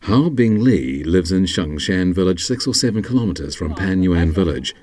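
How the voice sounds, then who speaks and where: A man reading scripted documentary narration in a measured, formal manner. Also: sits low, expressive, a crowd in the background.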